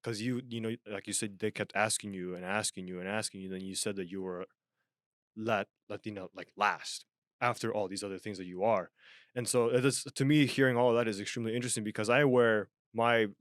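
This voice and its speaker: A man's voice: fairly low pitch, brisk, no room sound, clean studio sound. A young man from Japan co-hosting a podcast, talking earnestly in a casual chat with frequent restarts. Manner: earnest, animated, many restarts. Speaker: podcast co-host.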